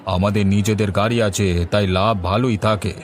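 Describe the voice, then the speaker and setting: A man voicing a gruff, haggling market buyer in a cartoon, blunt and businesslike. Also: on the low side, little pitch movement, brisk, close to an everyday voice. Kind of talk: cartoon voice acting. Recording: music playing behind the voice.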